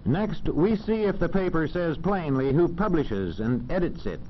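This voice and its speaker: An American man narrating a 1940s educational film in a formal, measured, didactic manner. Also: a little high, expressive. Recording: dull, faintly noisy old film soundtrack.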